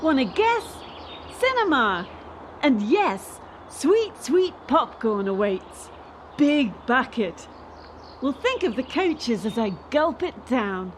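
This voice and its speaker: An actress plays a resolute young woman athlete in a radio play. The voice is high and animated, casual and frank, over faint background noise. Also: slow, exaggerated voice. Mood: playful. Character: defiant young female gymnast quitting the sport.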